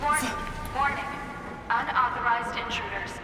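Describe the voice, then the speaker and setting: A woman's voice: a little high, moderate pace, reverberant, background noise, lightly acted. A woman voicing a cold automated security machine in a video game, announcing clipped, formulaic warnings. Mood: cold, alarmed warning. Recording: game audio under loud music.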